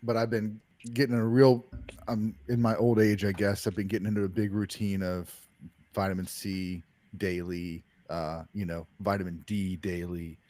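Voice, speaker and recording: A man's voice: fairly low pitch, little pitch movement, normal speed, darkish tone. A man in his forties hosting a casual watch-along stream, easygoing and guiding the guests along. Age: 40s. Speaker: host. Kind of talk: casual chat.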